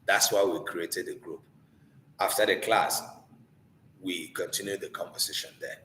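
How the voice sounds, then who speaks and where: A man's voice: sits low, fairly even intonation, moderate pace, a bit bright, a little hiss or hum. A man with a Nigerian Igbo accent teaching a live online class informally, earnest and exhorting, posing rhetorical questions. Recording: echoey room with long reverb.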